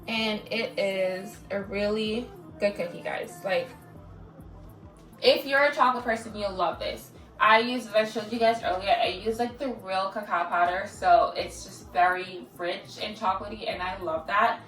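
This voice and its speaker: A woman's voice: medium pitch, fairly even intonation, moderate pace, fairly bright tone. A woman with a Jamaican accent chatting casually as a cooking vlogger, filling her talk with "like" and "guys". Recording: echoey room, distant mic, music underneath.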